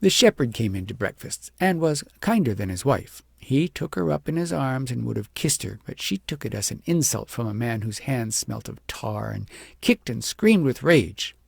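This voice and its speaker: A man reading a children's story aloud as a scripted, expressive audiobook narrator. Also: medium pitch, normal speed, creaky, slightly rough, no echo, studio quality.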